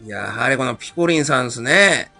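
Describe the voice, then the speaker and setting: A man with a bright, medium-pitched voice talks casually as a guest. His wording is folksy yet polite. Soft music plays underneath. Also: expressive, brisk, no room sound. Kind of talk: casual chat.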